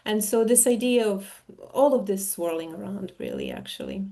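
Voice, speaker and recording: A woman from Serbia presenting her own art in a talk, speaking in a searching way with frequent pauses and self-interruptions. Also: mid-range voice, wildly swooping pitch, creaky, dark tone. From Serbia, former Yugoslavia. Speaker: artist, interviewee.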